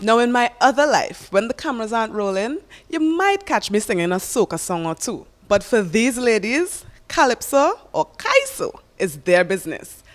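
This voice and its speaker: A woman's voice: on the high side, big pitch swings, normal speed, hardly any pauses. A woman presenting and interviewing guests as host of a music talk show.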